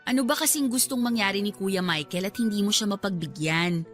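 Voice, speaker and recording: A woman's voice: mid-range voice, a little fast, soft background music, lightly acted. A woman playing a candid, understanding younger sister in a radio drama, chiding and playfully teasing. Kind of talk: radio drama dialogue in Filipino.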